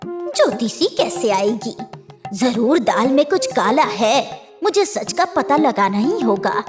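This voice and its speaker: A woman's voice: fairly high pitch, big pitch swings, slow pace, music in the background. A woman voicing a jealous, suspicious village sister in a cartoon story. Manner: suspicious, scheming.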